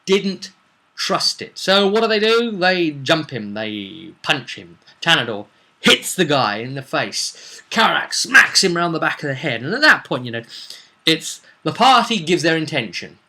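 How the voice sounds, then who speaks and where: A man recounting a tabletop game session in an animated, emphatic way, throwing in rhetorical questions like a game master telling a tale. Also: a little high, measured pace. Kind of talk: animated vlog storytelling.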